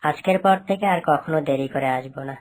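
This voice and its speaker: A woman's voice: deep voice, fairly quick, natural voice. A woman voicing a poor, worried male fox labourer in a cartoon, speaking plaintively. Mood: pleading. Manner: plaintive, pleading. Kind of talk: cartoon dialogue.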